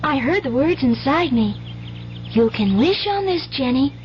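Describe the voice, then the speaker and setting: A woman's voice: sits high, animated, unhurried, a little hiss or hum. An actress playing an earnest, dreamy little girl in a 1970s radio drama, folksy and full of childlike wonder. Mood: wonderstruck, dreamy. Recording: muffled 1970s radio recording, music behind.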